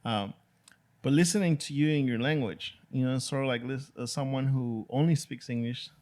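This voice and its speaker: A man's voice: mid-range voice, darkish tone. A man whose first language is Spanish, hosting a podcast in a laid-back, casual way. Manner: laid-back, drawn-out "dude" and "you know" fillers. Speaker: podcast host. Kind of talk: casual chat.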